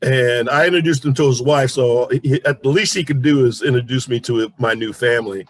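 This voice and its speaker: A man's voice: medium pitch, a little fast. A man hosting a casual interview, speaking in a conversational way as he reacts and asks questions. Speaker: interviewer, host.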